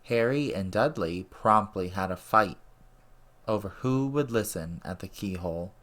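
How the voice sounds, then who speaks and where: A man's voice: fairly low pitch, expressive, a little slow, a bit bright. A man reading as the narrator of a dramatic audiobook.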